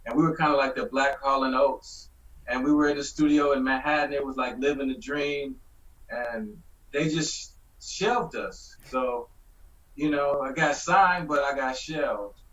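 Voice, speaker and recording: Bright-voiced man on a distant video call. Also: mid-range voice, dull audio. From Michigan. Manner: rambling, halting storytelling with fillers. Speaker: musician guest. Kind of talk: casual interview over video call.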